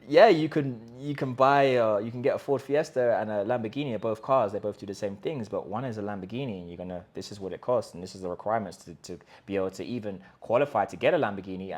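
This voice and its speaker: A man hosting a language-learning channel, speaking straight to his viewers in an emphatic pitch full of rhetorical questions and quick restarts. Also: a little mellow. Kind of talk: direct-to-camera pitch talk. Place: in Colombia.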